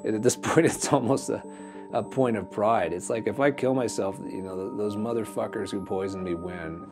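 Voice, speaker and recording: A man's voice: on the low side, normal speed, music in the background. A man in his forties speaking candidly and bluntly in a documentary interview. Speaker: climber in withdrawal.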